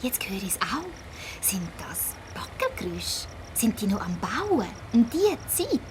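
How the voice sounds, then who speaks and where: A woman's voice: mid-range voice, very animated, measured pace, background noise. A woman playing an optimistic, determined girl in a children's audio drama, with a Swiss accent and an eager, persuasive manner. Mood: hushed, curious. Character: optimistic, determined young girl among adventuring friends.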